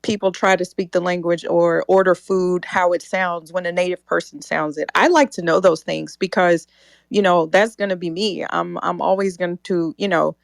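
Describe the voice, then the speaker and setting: Woman, podcast co-host, casual chat, gravelly voice, dry sound, animated storytelling. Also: fairly low pitch, moderate pace, dry recording.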